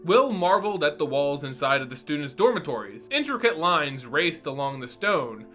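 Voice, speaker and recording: Male lore host and storyteller: forceful bright delivery, very expressive pitch, playful teacherly manner, music bed. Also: normal speed. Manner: playful lecturer addressing listeners as students. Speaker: host and narrator of a fantasy lore channel. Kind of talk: dramatic story reading and scripted lore commentary.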